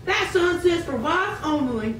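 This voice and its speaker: A man voicing a hostile, bigoted restaurant owner in a puppet show, scolding in a shrill voice. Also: expressive, measured pace, a bit bright, exaggerated voice. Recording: roomy, distant, noisy amateur recording.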